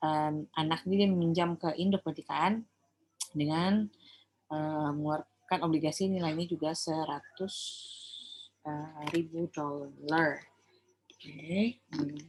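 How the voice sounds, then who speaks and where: A woman's voice: low voice, steady tone, measured pace, pauses often, a little mellow, slight background noise, rough recording. A woman with an Indonesian accent giving an informal lecture, explaining in a conversational way and often checking that listeners follow. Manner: conversational, often checking understanding with tag questions. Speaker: accounting lecturer.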